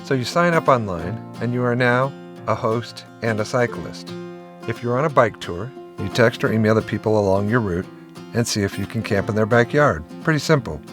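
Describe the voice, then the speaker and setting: A middle-aged man telling the story of his travels in a relaxed, friendly voice-over. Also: lowish voice, normal speed. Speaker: vlogger host. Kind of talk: scripted voice-over narration. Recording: voice-over with guitar music behind.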